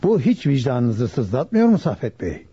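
Chief inspector: very dark husky timbre, solemn authority, expressive radio drama delivery. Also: male voice, mid-range voice, unhurried, dull audio, heavily acted. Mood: reproachful. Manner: solemn, probing. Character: grave, authoritative male police chief inspector. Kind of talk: Turkish radio drama acting.

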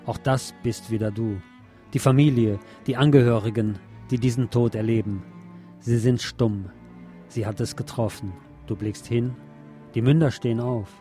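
A man giving a measured, solemn scripted reading of literary prose as narrator, articulating clearly. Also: medium pitch, steady tone, dark tone, faint music behind. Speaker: narrator and reader.

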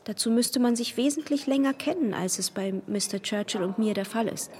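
An actress playing a reserved young lady who answers softly, evasively and with guarded politeness. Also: fairly high pitch, a little fast, natural voice.

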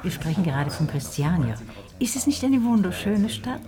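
An actress in a German radio play, playing a chatty, eager hostess, a doctor's wife. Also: female voice, lowish voice, sing-song, moderate pace, crowd noise. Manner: chatty, inviting.

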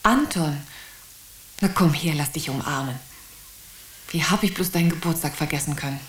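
An overworked mother in a radio play, acted by a woman, chatty and breezy. Her voice sits fairly low for a woman, in a roomy recording. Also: normal speed, close to an everyday voice. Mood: apologetic. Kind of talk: German radio play acting.

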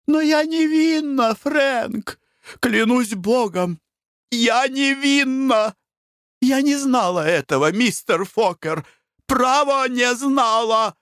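A man voicing a hysterical, sobbing older noblewoman who wails and shrieks in a very high voice. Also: slow pace, fairly bright tone, clean tone, no room sound, studio quality, exaggerated voice. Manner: wailing, shrieking.

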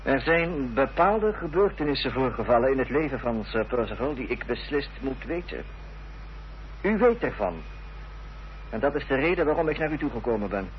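An actor playing a determined, formal gentleman in a radio drama, earnest and insistent, on a dull, noisy old recording. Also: medium pitch, animated, normal speed, natural voice. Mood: resolute, formal. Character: determined, formal gentleman confronting an enemy's accomplice.

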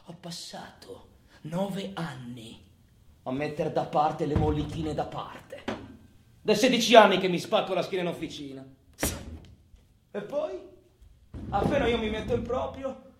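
A man's voice: high-pitched, reverberant, a little hiss or hum. An actor playing a bitter, boastful working-class man in a colloquial, sardonic theatrical monologue. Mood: indignant, defiant. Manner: colloquial, sardonic, swinging from muttering to shouting.

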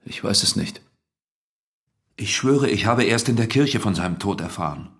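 A man's voice: fairly low pitch, expressive, normal speed, fairly bright tone. An actor playing an accused married tradesman, guarded, terse and uneasy, in an audio drama. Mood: uneasy, defensive.

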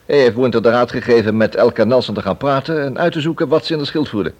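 A man playing a firm, formal police inspector in a Dutch radio drama, speaking in a measured, matter-of-fact official way. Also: mid-range voice, close to an everyday voice. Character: businesslike male police inspector making an arrest, firm and formal. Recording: dull, lo-fi radio drama recording.

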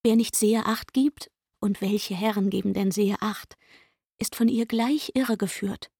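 A woman's voice: medium pitch, unhurried, dark tone, husky, no room sound, clean studio sound. An actress playing an aggrieved young chambermaid in a literary radio play, insistent and indignant as she pours out her complaints.

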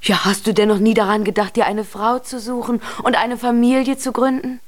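Woman playing a motherly, brisk dwarf wife. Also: fairly high pitch, little pitch movement, natural voice. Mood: coaxing. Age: middle-aged. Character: practical, matchmaking dwarf mother, smith's wife. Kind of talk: children's radio play acting.

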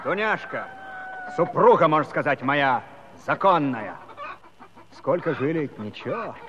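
A man's voice: on the high side, expressive, measured pace, fairly bright tone, in a large hall, lo-fi sound, a little hiss or hum. An actor playing an aggrieved, hot-tempered Cossack husband in a theatrical comic musical, complaining of his defiant wife. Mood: plaintive, indignant.

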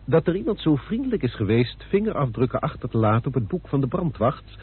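A police inspector reports with formal politeness and firm assurance. His voice is husky and moves up and down in lively steps. It comes through a thin, tinny old radio drama recording. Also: medium pitch, fast talker. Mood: assured, businesslike. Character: confident, deferential male police inspector reporting on a case.

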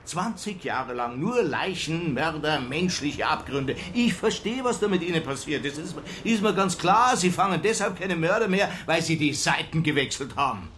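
An actor playing a blustering middle-aged police chief who scolds indignantly in a radio crime drama. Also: male voice, fairly high pitch, animated, normal speed, hardly any pauses, a bit bright, a little hiss or hum. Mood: scornful, exasperated. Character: irascible middle-aged male police chief, easily driven to rage.

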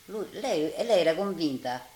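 A woman's voice: fairly low pitch, animated, moderate pace, darkish tone. An older woman with a Roman accent giving spoken testimony as a witness. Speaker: witness recalling wartime. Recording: roomy, noisy archive interview.

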